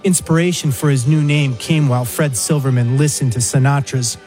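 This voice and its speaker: A man's voice: medium pitch, steady tone, moderate pace, a little mellow, faint music behind. A man delivering scripted documentary narration.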